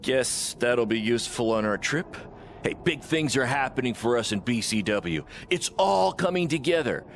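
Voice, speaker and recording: A man's voice: mid-range voice, lively intonation, normal speed, a little hiss or hum, lightly acted. An actor playing a self-assured, flippant wrestling promoter with a glib salesman's patter in a video game cutscene. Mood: upbeat, pitching. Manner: glib, upbeat salesman-like delivery. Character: fast-talking, self-assured small-time male wrestling promoter, cheerfully flippant.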